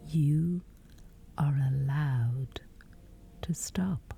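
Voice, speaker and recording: A woman guiding a sleep meditation in a breathy, whispery voice, soothing and measured with long pauses. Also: low voice, mellow, soft background music. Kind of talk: guided sleep meditation, scripted.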